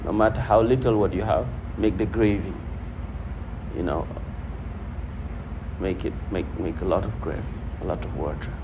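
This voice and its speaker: Low-voiced male preacher, noisy recording. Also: normal speed, long pauses. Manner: repeats phrases for emphasis, conversational. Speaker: preacher teaching hadith. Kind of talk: informal religious talk to a congregation, quoting Arabic. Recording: noisy room with steady background hum.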